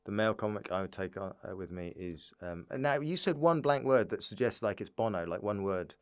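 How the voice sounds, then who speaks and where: Male comedian with a clear, smooth voice. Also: lowish voice, animated, fairly quick. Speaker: stand-up comedian, podcast guest. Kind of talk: casual comic chat.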